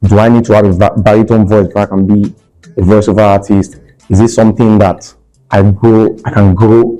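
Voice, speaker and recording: A man's voice: on the low side, flat delivery, a little fast, warm tone, faint music behind, low-quality recording. A man hosting an interview, asking rambling questions full of fillers. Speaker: show host. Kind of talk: interview conversation.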